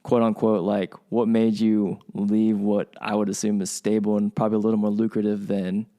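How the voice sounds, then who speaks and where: Mellow, casual male podcast host. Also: lowish voice, level tone, normal speed. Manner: casual, rambling, many restarts. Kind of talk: podcast interview.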